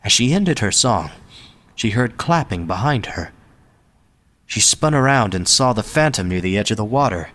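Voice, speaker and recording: A man's voice: on the low side, lively intonation, normal speed, fairly bright tone. A man reading scripted story narration as the narrator of an audio drama.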